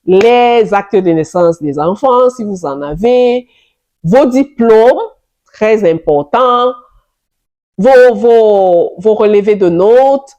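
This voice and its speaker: A French-speaking woman of middle age talks slowly and carefully to her viewers, laying out advice step by step. She keeps returning to her key points, with a voice of middling pitch and an unhurried pace that leaves room between her phrases. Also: lively intonation, warm tone, highs cut off. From immigrant to Canada, francophone. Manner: slow, deliberate, explanatory, repeats key points. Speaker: vlogger giving immigration advice. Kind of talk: advice vlog talking to camera.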